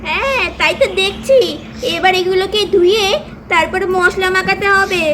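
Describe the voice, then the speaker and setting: A woman's voice: very high voice, normal speed, fairly bright tone, a little hiss or hum. A woman voicing a bossy, playfully teasing village housewife doll in a children's cartoon, chatty and exclaiming. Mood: brisk, chatty.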